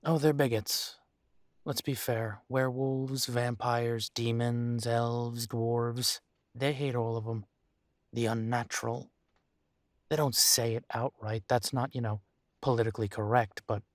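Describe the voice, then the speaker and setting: A man acts out a male werewolf in an intimate audio roleplay. His medium-pitched voice is very dry, with no room around it. He speaks to his mate in a halting, exasperated way, weary and torn. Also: fairly even intonation, dry recording, lightly acted. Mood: exasperated, protesting. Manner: exasperated, halting, sighing delivery.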